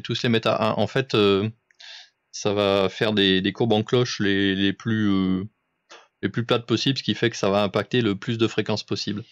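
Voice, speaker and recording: A man's voice: on the low side, smooth, no room sound, muffled recording. A man giving casual, exacting step-by-step instructions as an amateur radio operator coaching someone. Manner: didactic, exacting, informal.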